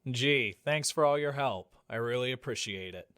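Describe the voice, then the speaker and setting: A man's voice: medium pitch, normal speed, fairly bright tone, clean tone, no echo, studio quality, close to an everyday voice. A young man playing a skeptical, jumpy, sarcastic guy spooked by his friends in an amateur radio play. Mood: exasperated. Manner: exasperated, sarcastic.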